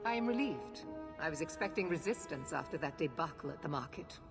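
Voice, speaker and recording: An imperious Templar commander in a fantasy game. Her voice is fairly low, formal and used to being obeyed. Long reverb surrounds it, with music clearly playing behind. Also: animated, brisk. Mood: stern, resolute. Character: stern, authoritarian female Templar knight commander. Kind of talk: dramatic video game acting.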